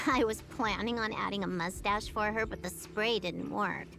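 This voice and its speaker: A woman's voice: medium pitch, moderate pace, vocal fry, natural voice. A woman voicing a smug, playful young female hacker in a video game, teasing and nonchalant. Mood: amused, teasing. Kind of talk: scripted video game dialogue. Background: game music.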